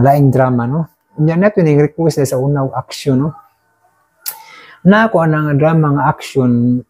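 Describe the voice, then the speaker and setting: A man's voice: fairly high pitch, dark tone, breathy edge, low-quality recording. A man with a Filipino accent chatting casually to listeners as a host, rambling and often adding short tag questions. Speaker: uploader and host of drama channel. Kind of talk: casual unscripted chat to listeners.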